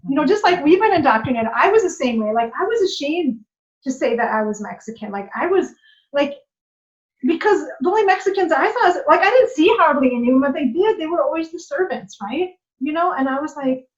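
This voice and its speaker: A woman guest in a personal conversation, reflective and affirming, filling her speech with "like" and "you know". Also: high voice. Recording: muffled lo-fi recording.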